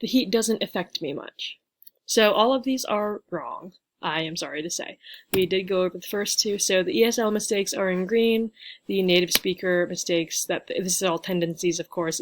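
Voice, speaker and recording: A young woman giving a relaxed grammar lesson over slides, talking into a webcam at home. Her voice sits a little low, and she chats loosely with plenty of fillers and playful asides. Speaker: teacher. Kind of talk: casual slide lecture.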